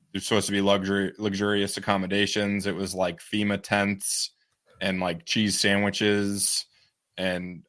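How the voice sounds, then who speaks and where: Laid-back guy cohosting a news podcast, lowish voice, laughs a lot. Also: a bit bright. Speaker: podcast co-host. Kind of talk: casual news chat.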